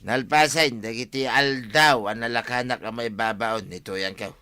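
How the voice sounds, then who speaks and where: An actor playing a boisterous, tipsy village husband in an Ilocano comedy radio drama, with an Ilocano accent. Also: male voice, medium pitch, normal speed, pushed and bright, smooth, close to an everyday voice.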